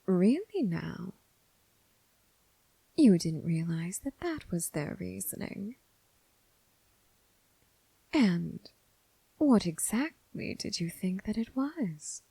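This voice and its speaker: A dangerous, seductive lady mob boss voiced by a woman murmurs close and dry into the mic. Her voice is dark and husky, often sinking toward a whisper. She teases with formal, deliberate phrasing, predatory and coolly amused, in an intimate ASMR roleplay. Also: medium pitch, sing-song, dry recording, exaggerated voice, low-quality recording. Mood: cool, probing. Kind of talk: ASMR roleplay, scripted character acting.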